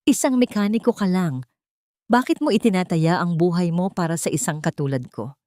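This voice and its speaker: A woman's voice: on the low side, lively intonation, normal speed, warm tone, dry recording, clean studio sound, lightly acted. A woman voicing a weakened, hurt and bewildered woman in an audio love drama. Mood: weak, questioning. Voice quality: near-whisper, weak.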